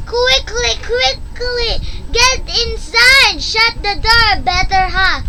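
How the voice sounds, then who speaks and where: A female reader reading a children's story and quiz answers aloud in an expressive, halting, deliberate way, on a noisy home recording. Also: extremely high pitch.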